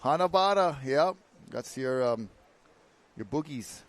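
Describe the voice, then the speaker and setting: A man from Hawaii, giving relaxed live surf contest commentary and slipping into local pidgin slang. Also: a little high, unhurried. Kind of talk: live sports commentary, casual banter.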